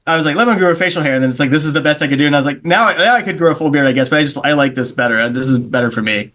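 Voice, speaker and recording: A man's voice: fairly high pitch, very fast, fairly bright tone. A man from Charleston chatting as a podcast guest, rambling through anecdotes and acting out the other people in them. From Charleston, South Carolina. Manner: rambling anecdotes, acts out the voices of people in his stories. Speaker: guest comedian. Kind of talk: casual podcast chat.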